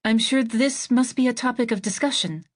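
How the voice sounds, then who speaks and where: A woman's voice: on the high side, moderate pace, pitched above the performer's own voice, natural voice. A woman voicing a dazed young astronaut who talks in a sing-songy voice.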